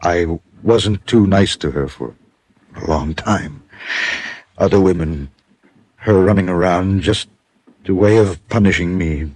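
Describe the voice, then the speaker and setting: An actor playing a weary, wounded, remorseful husband in a 1950s radio drama, husky and halting. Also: male voice, deep voice, a little mellow, dry recording. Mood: pained, resigned. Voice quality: husky, breathy. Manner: halting, broken phrases.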